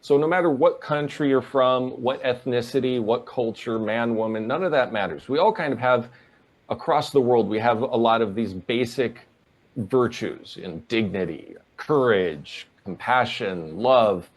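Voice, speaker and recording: A man from the American Northwest speaks as an interview guest. His voice sits at an ordinary pitch, and his answers are earnest and measured. He leans on phrases for emphasis as he explains. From Portland, Oregon, USA.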